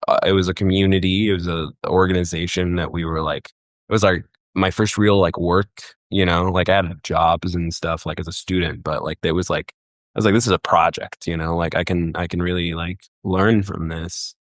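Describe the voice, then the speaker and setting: A man's voice: sits low, little pitch movement, fairly quick, no room sound, studio quality. A young man from Egypt talking casually as a podcast guest, rambling with many fillers and restarts. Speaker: guest, festival booker.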